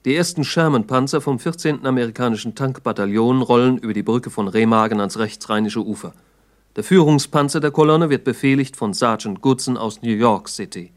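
A man narrating a 1960s documentary radio drama in a sober, factual manner with measured diction. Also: mid-range voice.

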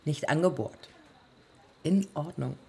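An actress playing a proud, determined woman of early aviation in a radio play, speaking husky and creaky in terse, deliberate phrases. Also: sits low, a little mellow, exaggerated voice. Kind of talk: radio play monologue and dialogue.

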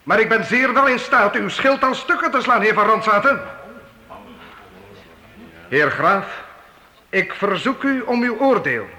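An earnest, courtly young knight, high and very bright in voice. He declaims formally and boldly in an echoey, noisy old radio drama recording. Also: lively intonation, unhurried, pauses often, full character voice. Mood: defiant. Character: bold, earnest young knight dressed as minstrel. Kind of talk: radio play acting for youth.

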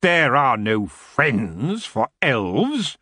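A man plays a merciless elf lord with open contempt, each word drawn out slow and menacing. His voice swoops up and down as he sneers, and it sounds close and dry. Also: medium pitch, big pitch swings, pushed and bright, slightly rough, no room sound, voice pushed up, heavily acted. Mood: cold menace.

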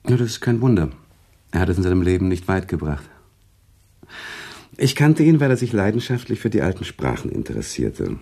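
An actor playing a worldly, sentimental male storyteller in a radio drama, teasing and emotional, with dramatic pauses and expressive swells. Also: exaggerated voice. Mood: wistful. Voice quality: husky, gravelly low voice. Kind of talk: German radio drama acting, conversational storytelling.

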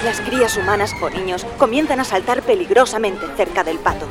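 An actress playing a solemn, deadpan female narrator of a parody nature documentary on radio. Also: mid-range voice, fairly quick, music in the background, lightly acted. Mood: solemn wonder.

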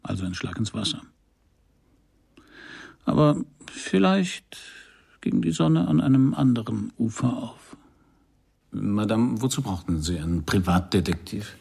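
Male private detective for radio noir: low, husky, dryly understated, courteous, narrates his own case. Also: animated, a little slow, long pauses, darkish tone, dry recording, clean studio sound, exaggerated voice. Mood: courteous, probing. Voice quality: gravelly, husky. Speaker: detective and first-person narrator. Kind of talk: radio crime drama, scripted dialogue and narration.

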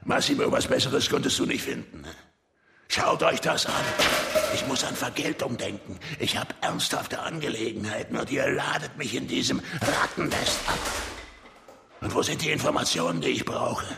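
A man acting a menacing, bullying gangster boss in a radio drama, gravelly and sneering, with a barking, explosive delivery. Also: medium pitch, normal speed, heavy background noise. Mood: furious, threatening.

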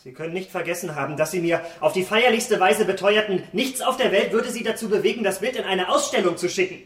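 An actor in a German radio drama playing an elegant, secretive young gentleman, charming but guarded, with polished formal diction. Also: high-pitched, fast talker. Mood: accusing, agitated. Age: young adult.

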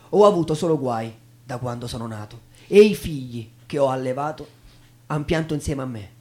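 A man performs as a stage narrator. He recites in a theatrical, dramatic style, with a voice of medium pitch for a man. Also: dark tone.

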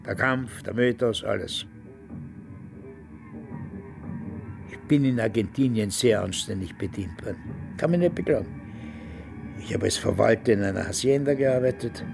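An actor playing a self-satisfied old retired Austrian police major with a Nazi past, smug and rambling, with an Austrian accent in a radio drama. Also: male voice, mid-range voice, gravelly, natural voice. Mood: smug reminiscing. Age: older, past retirement. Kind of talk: radio drama acting, reminiscing.

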